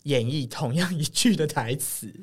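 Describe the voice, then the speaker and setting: A woman's voice: sits low, normal speed, slightly rough. A woman with a Taiwanese Mandarin accent, co-hosting a podcast in casual, playful interview chat. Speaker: podcast co-host.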